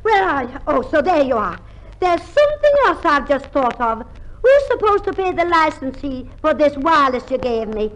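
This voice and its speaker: A woman's voice: high-pitched, big pitch swings, normal speed. An actress playing a cantankerous, whining woman neighbour with an Australian accent in a 1950s radio comedy. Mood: quarrelsome. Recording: faintly noisy 1950s radio recording.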